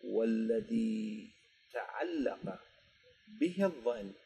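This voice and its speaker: A man with an Iraqi Arabic accent teaching a grammar lesson, dictating slowly and quizzing his students before answering his own questions. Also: fairly low pitch, animated, vocal fry, mellow, slightly rough, poor audio.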